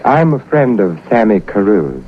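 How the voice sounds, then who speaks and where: Low-voiced, sardonic radio sleuth. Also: male voice, sing-song, normal speed, breathy edge. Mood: cool, probing. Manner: sardonic, clipped, cool. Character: wry, cool, hard-boiled male investigator. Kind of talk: 1940s radio acting with first-person narration. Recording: tinny vintage radio recording with music.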